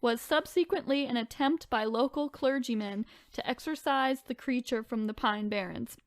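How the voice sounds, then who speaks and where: A woman's voice: a little high, moderate pace, no echo, studio quality. A woman co-hosting a podcast in casual chat, informal and chatty, sometimes reading out descriptions with asides. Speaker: podcast co-host.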